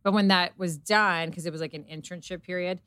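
Lowish smooth woman's voice, chatty, says like a lot, dry close mic. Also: steady tone, fairly quick, a bit bright, no echo. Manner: rambling, filler-heavy storytelling with quoted inner thoughts. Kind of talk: casual chat.

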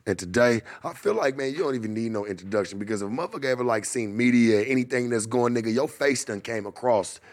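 A man's voice: mid-range voice, fast, few pauses. A man hosting a podcast, laid-back and talking up his guest while asking questions. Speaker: podcast host. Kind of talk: casual podcast interview.

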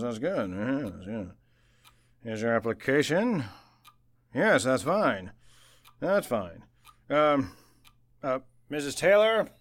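A man's voice: mid-range voice, no echo, clean studio sound. A man playing a blustering, absent-minded male boss in an old-time radio play, flustered and hesitant in his speech. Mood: muttering, preoccupied.

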